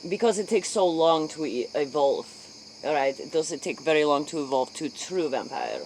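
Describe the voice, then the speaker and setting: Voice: mid-range voice, moderate pace, a little mellow, slight background noise, poor audio. An interviewer in a spoof late-night role play, speaking inquisitively in put-on, foreign-sounding broken English.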